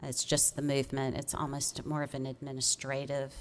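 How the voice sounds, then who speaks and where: A woman's voice: extremely low pitch, completely flat delivery, a little fast. A woman explaining cases to a commission in an informal, accommodating, slightly hesitant way, as a city staff member. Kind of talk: informal public meeting talk.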